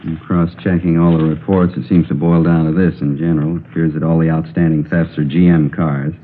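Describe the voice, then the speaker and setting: An actor with an American accent playing a brisk, authoritative police captain in a 1950s radio drama, matter-of-fact, on a muffled old recording. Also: male voice, rumbling low, little pitch movement. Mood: brisk, official. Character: brisk male police captain briefing his detectives.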